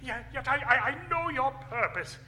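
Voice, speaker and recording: An actor playing a frightened, repentant old miser in a staged radio play, halting, stammering and pleading theatrically. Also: male voice, extremely high pitch, animated, sharp tone, full character voice. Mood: terrified. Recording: live stage recording, faint noise.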